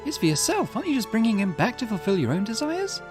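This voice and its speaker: A brash young woman, played by a man, pitched high and swooping wildly. She is a visual novel character who taunts her rivals and presses them bluntly with pointed questions. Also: wildly swooping pitch, fast talker, over music. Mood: blunt, challenging.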